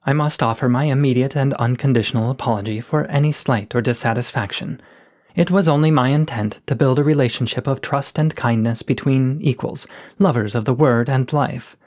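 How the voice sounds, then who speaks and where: A man voicing a shy, poetic male coyote, reading his gentle, eloquent letters aloud. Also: mid-range voice, brisk, lightly acted. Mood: contrite. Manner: gentle, eloquent, self-effacing.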